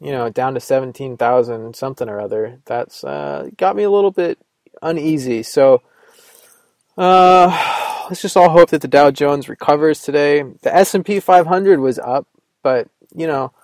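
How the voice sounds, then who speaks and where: Guy rambling solo with lots of ums, dry deadpan sarcasm, just over a cold, very dry mic. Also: a little high, moderate pace, no echo. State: recovering from a cold. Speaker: podcast host. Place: Vermont.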